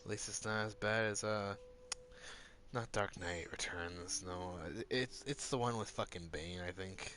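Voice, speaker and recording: A man's voice: fairly low pitch, measured pace, bright, forceful tone, slight background noise. A man chatting casually while he plays a game. He swears constantly, laughs often and restarts his words as he rants. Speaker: streamer. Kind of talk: casual chat while gaming.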